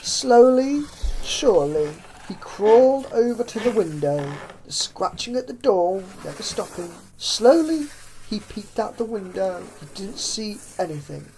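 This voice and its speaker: A woman narrates a horror story in a theatrical, suspenseful way, with drawn-out phrases and hushed drops. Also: medium pitch, sing-song, rough recording. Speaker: fan fiction narrator. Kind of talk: dramatic horror story reading. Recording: clear background noise with ambience.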